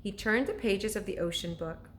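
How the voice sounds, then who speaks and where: A woman reading a children's story aloud like a teacher to pupils, with expressive, deliberate narration. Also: mid-range voice, slight background noise.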